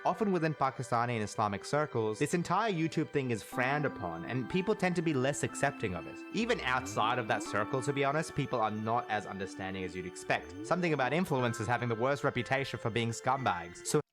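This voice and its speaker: Man, native Urdu speaker, animated story narration, earnest and self-mocking, lively voice, music underneath. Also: mid-range voice, quick pace, hardly any pauses. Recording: voice-over with background music.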